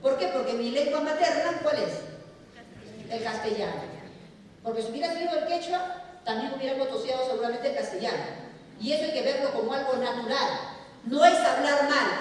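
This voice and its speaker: A middle-aged Peruvian woman with a Peruvian Spanish accent, giving a talk to teachers and often asking questions and answering them herself. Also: high-pitched. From Peru. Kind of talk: lecture to a hall of teachers. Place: large lecture hall. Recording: echoey hall recorded from far off.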